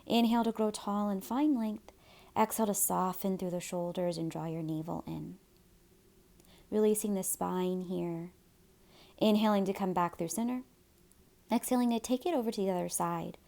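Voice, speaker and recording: A woman teaching gentle yoga and guided meditation in a soft, soothing, breathy way. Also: mid-range voice, normal speed.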